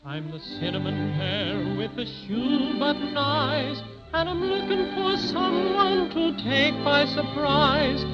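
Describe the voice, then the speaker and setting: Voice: sits high, slow, hardly any pauses. An actor playing a tiny, boastful, harmless teddy bear in 1930s radio, delivering mock-ferocious sing-song rhymes. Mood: mock-fierce, boastful. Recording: muffled 1930s recording, loud music behind.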